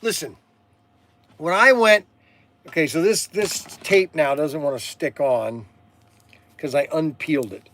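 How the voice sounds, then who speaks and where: A man in his sixties hosting a casual, rambling livestream, halting and often repeating himself as he stumbles over words. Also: on the high side, a bit bright, slight background noise. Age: 60s. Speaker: livestream host selling hobby toys and model cars.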